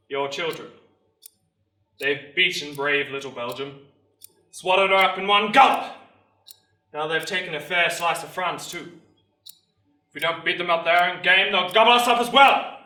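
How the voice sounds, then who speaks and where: A man playing a rousing army sergeant major recruiting in a town square, with loud, declamatory oratory in a theatrical monologue. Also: high voice, expressive, a little slow, pauses often, heavily acted. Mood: fiery. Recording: roomy, distant microphone.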